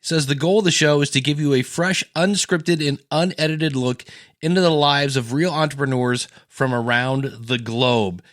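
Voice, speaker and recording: A man's voice: a little high, normal speed, fairly bright tone, no echo, clean studio sound. An American man from Ohio hosting a podcast in a casual, rambling, self-deprecating way, acting out quotes as he goes. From Ohio, United States. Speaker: podcast host. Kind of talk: casual solo podcast talk.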